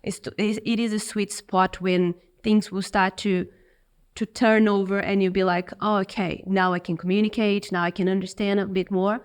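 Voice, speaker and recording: A woman's voice: medium pitch, level tone, normal speed, clean tone. A woman from Brazil with a Brazilian Portuguese accent, a business owner speaking reflectively and expressively as an interview guest. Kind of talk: interview conversation.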